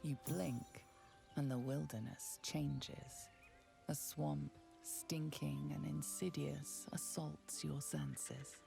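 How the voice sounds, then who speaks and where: A woman voicing an ominous, composed narrator of a fantasy video game in a measured, dramatic way. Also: low voice, little pitch movement, vocal fry, music in the background, exaggerated voice. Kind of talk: scripted second-person game narration.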